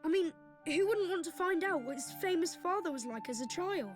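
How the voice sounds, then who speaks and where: A high, youthful boy prince, gentle and wise beyond his years. He explains things with measured earnestness, as in a game cutscene, with orchestral music playing behind him. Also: expressive. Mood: earnest, calm. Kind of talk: video game cutscene acting.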